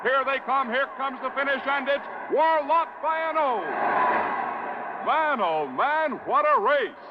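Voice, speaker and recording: A man delivering an excitable, sportscaster-like 1950s radio advertisement. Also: very high-pitched, a little slow, heavy background noise. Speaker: commercial pitchman. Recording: dull vintage radio recording.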